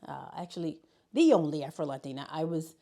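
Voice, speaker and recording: A woman from New York City speaks in a low voice with a gravelly edge. She talks reflectively in an interview about how she came to teaching, circling back and restarting as she thinks. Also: animated, fairly quick, mellow. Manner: reflective, storytelling, with restarts and fillers. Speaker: high school learning specialist. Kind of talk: interview conversation.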